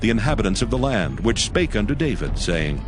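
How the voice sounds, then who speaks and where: A man narrating a dramatized scripture audiobook in a solemn, measured storytelling manner. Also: lowish voice, sing-song, over music.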